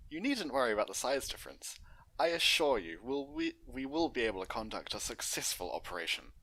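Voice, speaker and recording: A man's voice: mid-range voice, sing-song, brisk, pushed and bright, voice pushed up, exaggerated voice, rough recording. Man voicing a formal, reassuring lady pony doctor. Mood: professional, reassuring. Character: polite, professional female pony doctor in a clinic.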